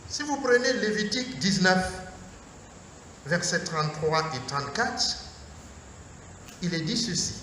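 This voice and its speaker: Man priest, high voice, slow measured sermon phrasing, heard from afar in a reverberant hall. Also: big pitch swings, sharp tone. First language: Mooré. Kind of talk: Lenten lecture sermon. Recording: echoey hall from far off, faint noise.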